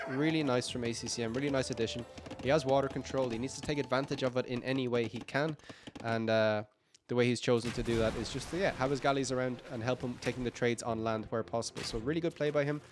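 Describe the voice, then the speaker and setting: A man with a croaky, tired voice giving live play-by-play commentary on a strategy game, upbeat and rambling with frequent fillers and self-corrections. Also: mid-range voice, fairly even intonation, quick pace, hardly any pauses, over music. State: croaky, tired voice late in a long stream. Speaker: esports caster.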